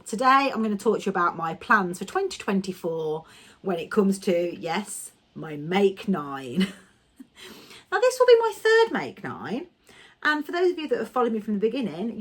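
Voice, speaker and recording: A woman chats to her camera in a rambling, friendly way, a little nasal and stuffy from a cold. Her voice sits fairly low but swoops up and down with lively intonation. She often corrects herself as she goes. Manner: chatty, rambling, self-correcting. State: has a cold, slightly nasal and coughing. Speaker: sewing vlogger. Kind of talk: casual vlog chat.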